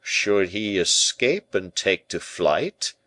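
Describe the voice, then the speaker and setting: A man's voice: lowish voice, measured pace, clear, resonant tone, no echo, voice pushed up, lightly acted. A man voicing a scheming, grumbling royal minister in an audiobook, formal and insistent. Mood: alarmed.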